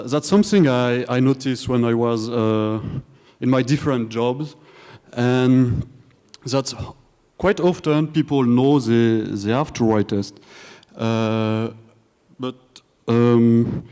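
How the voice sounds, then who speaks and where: Young French-accented man presenting at a conference; casual, joking, full of fillers, mid-pitched voice in a hall with long reverb. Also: takes it slowly, dark tone, smooth. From France. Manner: joking, hesitant, many fillers and restarts. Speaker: conference speaker. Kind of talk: live conference talk. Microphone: handheld or stage microphone.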